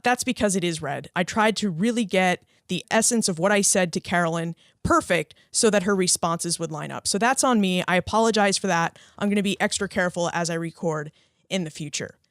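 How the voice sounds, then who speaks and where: A woman's voice: on the low side, fairly quick, no room sound, clean studio sound. A woman hosting a history podcast, reading her intro and questions in an upbeat, scripted way. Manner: lively, upbeat, reads from script. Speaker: podcast host. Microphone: re-recorded studio voice-over.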